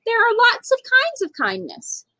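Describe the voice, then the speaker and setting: A woman's voice: very high voice, wildly swooping pitch, measured pace, sharp tone, dry recording, pitched above the performer's own voice, heavily acted. A woman voicing an earnest, thoughtful young schoolgirl in a storybook. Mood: pondering.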